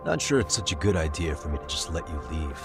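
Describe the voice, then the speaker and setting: An actor playing a wry, level-headed detective in a video game dub, speaking in a dry, conversational way. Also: male voice, a bass voice, big pitch swings, quick pace, full character voice. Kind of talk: English-dub video game cutscene acting. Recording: music behind the voice.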